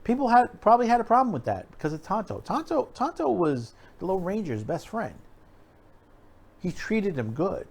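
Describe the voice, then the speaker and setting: A man's voice: high-pitched, very animated, normal speed, a little mellow. A middle-aged American man giving unscripted opinion commentary as a show host, rambling and emphatic, often repeating himself. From United States.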